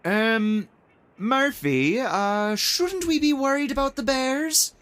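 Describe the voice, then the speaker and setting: A man's voice: very high-pitched, animated, slow pace, a bit bright, exaggerated voice. An actor playing a nervous, wry young male companion in an audio drama. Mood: worried. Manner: fretful, wry.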